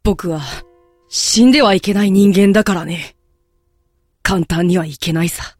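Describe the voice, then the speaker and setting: A man's voice: sits high, unhurried, darkish tone, no room sound, faint music behind. A man playing a defiant, principled captive in an audio drama, earnest and unyielding. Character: defiant captured man, principled protector of werewolves. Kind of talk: Japanese audio drama acting.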